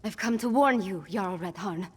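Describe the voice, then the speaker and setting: An actress playing an earnest, anxious young princess in a video game, urgent and pleading in manner. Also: female voice, medium pitch, moderate pace, breathy edge, close to an everyday voice. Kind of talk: video game character acting.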